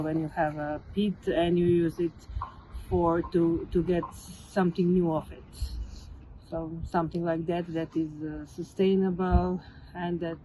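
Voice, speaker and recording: A woman from Croatia giving a halting interview answer with restarts. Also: low-pitched, dark tone, slight background noise, rough recording. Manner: halting, restarts mid-phrase.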